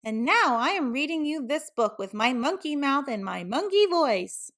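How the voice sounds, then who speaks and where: A woman voicing a silly, boastful robot monkey from a storybook, in a goofy, exaggerated, drawn-out manner. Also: sits high, sing-song, fairly bright tone, full character voice. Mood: goofy, proud.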